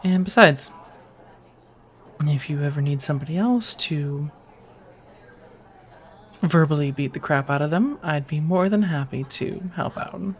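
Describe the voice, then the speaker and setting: A woman's voice: low-pitched, measured pace, pauses often. A woman playing a friendly, teasing firefighter on a date in an intimate, casual ASMR romance roleplay, chatty and reassuring. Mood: supportive, firm. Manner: chatty, playfully wry, reassuring, sprinkled with soft laughs.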